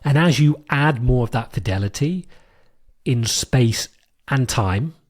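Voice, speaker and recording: A man with a British accent hosting his own podcast, explaining a technical topic in a thoughtful, unscripted way with many fillers and restarts, his voice a bit hoarse from a cold. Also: medium pitch, expressive, slow, darkish tone. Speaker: podcast host. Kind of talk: solo explanatory podcast monologue.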